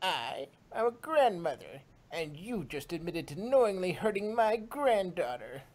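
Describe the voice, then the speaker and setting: Guy doing stern old granny pony for a story, indignant, soft but firm, pitched up. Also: sing-song, unhurried. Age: older. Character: stern, protective grandmother pony.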